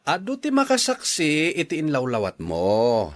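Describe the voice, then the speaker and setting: A man's voice: a little high, huge pitch swings, normal speed. An actor playing a blunt, exasperated man who questions a gossip in a radio drama.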